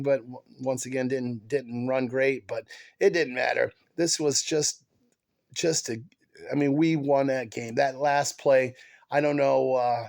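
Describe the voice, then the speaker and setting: A man hosting a casual sports podcast, talking with a stuffed-up head cold, halting with many fillers and restarts as an excited fan. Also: medium pitch, gravelly. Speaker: podcast host.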